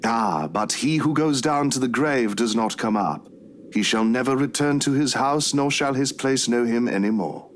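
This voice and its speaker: An actor playing a courteous, youthful priest in a visual novel, speaking formally and gently preaching. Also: male voice, medium pitch, animated, moderate pace, a little hiss or hum, lightly acted. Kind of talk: scripted visual novel voice acting.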